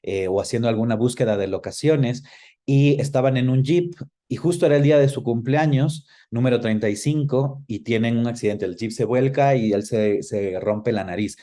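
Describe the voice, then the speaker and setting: A man's voice: medium pitch, moderate pace, mellow. A man of about forty with an Ecuadorian accent, answering interview questions in a reflective, explanatory way and often searching for words. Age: around 40. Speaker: interview guest.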